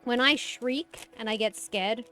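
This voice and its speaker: A hyper, bubbly woman with a high-pitched voice, chatting casually while streaming a game. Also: measured pace, pushed and bright, soft background music. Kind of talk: casual gaming stream chat.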